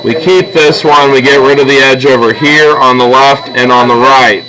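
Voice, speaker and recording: Bright, clear-cut male teacher explaining step by step. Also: mid-range voice, moderate pace, poor audio. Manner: patient, checks in often with "okay?" and "all right?". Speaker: art teacher. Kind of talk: step-by-step classroom drawing instruction. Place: classroom with students present.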